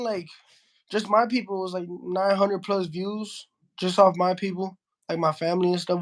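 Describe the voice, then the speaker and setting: A young man from Miami who spoke Spanish first, a heavyweight boxer talking casually in an interview, saying bro a lot and restarting his sentences. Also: high-pitched. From Miami, Florida. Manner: slangy, says bro a lot, frequent restarts.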